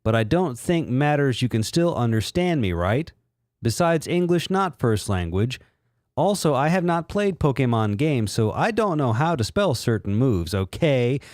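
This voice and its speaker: A man's voice: mid-range voice, very animated, measured pace, a little mellow, dry recording. A man narrates in a theatrical, sardonic mock-dramatic style. His mid-range voice swings wildly up and down, and the recording is very dry. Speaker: reader and commentator.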